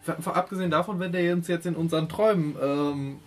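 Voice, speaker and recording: A man's voice: a little high, quick pace, faint music behind. A man with a German accent, casually bantering in character as a tabletop role-player.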